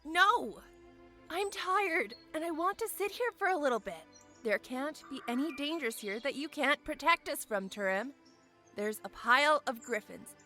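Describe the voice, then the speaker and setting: A woman's voice: sits high, sharp tone, heavily acted. A woman playing a weary, stubborn airship pilot and mechanic in a fantasy audio drama, plain-spoken and insistent.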